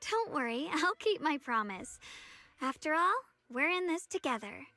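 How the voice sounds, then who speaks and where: A woman's voice: high voice, sing-song, normal speed, sharp tone, full character voice. A woman voicing an earnest, resolute young swordswoman heroine in a video game. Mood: gentle, reassuring. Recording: roomy echo, distant, music behind.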